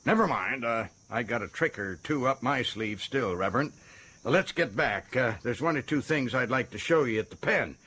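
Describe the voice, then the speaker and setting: An actor playing a folksy, self-important American prison governor in a radio drama, chatty and stammering with excitement. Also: male voice, mid-range voice, normal speed, breathy edge. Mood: chagrined, eager.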